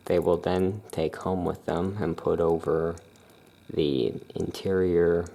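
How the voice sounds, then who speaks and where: Soft-spoken ASMR shopkeeper, unhurried and calm, with a mellow, gravelly tone. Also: low-pitched. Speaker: witch shop keeper presenting amulets.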